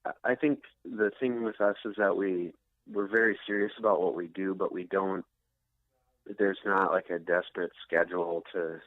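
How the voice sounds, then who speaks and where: An American man speaks as a guest in a casual interview. His voice sits low and the sound is dry. He talks reflectively, often pausing and restarting as he looks for the right words. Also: dry recording, phone-quality sound. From Minnesota, United States. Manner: reflective, halting, searching for words.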